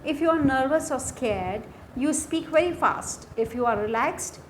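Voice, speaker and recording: Woman, Indian accent, higher-pitched, slow deliberate teaching delivery, faint background noise. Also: expressive, poor audio. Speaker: English lecturer. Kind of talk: scripted lesson narration.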